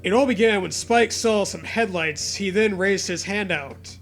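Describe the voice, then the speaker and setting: A man's voice: high voice, unhurried, a bit bright, soft background music. A man giving casual comedic commentary and mock story readings in a mocking, sweary manner. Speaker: podcast co-host.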